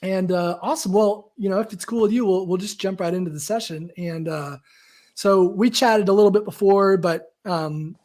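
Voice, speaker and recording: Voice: mid-range voice, normal speed, darkish tone. A coach hosts a live online session and speaks casually to the viewers and a guest. The speech rambles, circles back on itself, and leans on fillers. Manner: chatty and rambling, with many fillers and self-corrections. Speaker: coach and host. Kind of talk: live-stream coaching talk.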